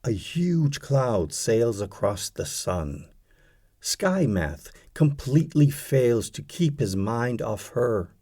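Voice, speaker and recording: A man reading poetry aloud as an audiobook, in a dramatic recitation with measured, deliberate phrasing and pauses. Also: mid-range voice, very animated, mellow. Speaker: audiobook reader.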